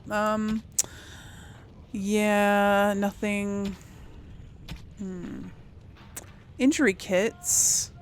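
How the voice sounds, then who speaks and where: A woman's voice: mid-range voice, slight background noise. A woman hosting a casual gaming let's play, thinking aloud in a hesitant, conversational way. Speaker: gaming video host.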